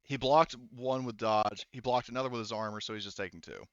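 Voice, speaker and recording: A man's voice: mid-range voice, fairly even intonation, brisk, no room sound, muffled recording. A man acting as game master in a tabletop roleplaying game, thinking aloud through rules and dice math.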